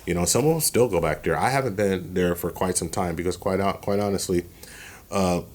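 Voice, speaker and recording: A man with a very low voice co-hosts a relaxed reaction podcast. He talks with emphasis and circles back over his phrases to drive a point home. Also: a little fast. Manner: emphatic, repeats phrases while building a point. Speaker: podcast co-host. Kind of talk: casual reaction chat.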